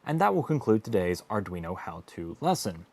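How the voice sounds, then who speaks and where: A man's voice: fairly low pitch, sing-song, normal speed, darkish tone, breathy edge. A man narrating a scripted technical tutorial as an instructor, explaining things methodically in carefully phrased steps. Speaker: instructor and host of an electronics tutorial series.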